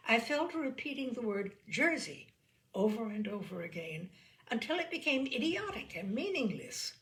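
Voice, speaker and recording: Animated woman storyteller with a creaky edge. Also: on the high side, moderate pace. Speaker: storyteller, narrator. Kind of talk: humorous short story reading.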